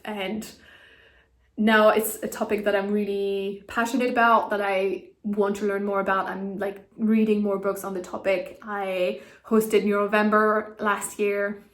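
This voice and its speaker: Chatty woman vlogger in an echoey room. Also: mid-range voice. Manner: enthusiastic, chatty, with fillers and repeated words. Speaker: book vlogger. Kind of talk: casual book review vlog.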